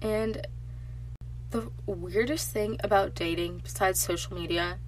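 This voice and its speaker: A woman's voice: medium pitch, steady tone, unhurried, slight background noise, low-quality recording. A teenage girl hosting a casual solo podcast, nasal and congested from allergies, chatty, with lots of filler words. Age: teens. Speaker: podcast host.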